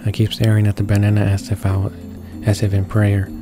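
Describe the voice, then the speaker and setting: A man reading visual novel text aloud, halting and stumbling over words. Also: fairly low pitch, completely flat delivery. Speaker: gamer reading along. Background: game music.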